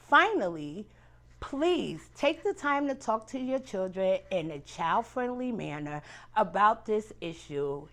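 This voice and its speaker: Female support-worker presenter, mid-pitched, very varied intonation, deliberate scripted educational read, earnest tone. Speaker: family support provider presenting. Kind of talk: scripted educational narration for parents.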